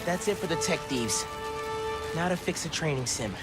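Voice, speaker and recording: An upbeat young superhero voiced by a young man talks casually and eagerly about gadgets. The voice rises and falls a lot, and loud music sits behind him. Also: on the high side, moderate pace, natural voice. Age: young adult. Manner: lively, casual, enthusiastic. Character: young male teen superhero, upbeat and techy.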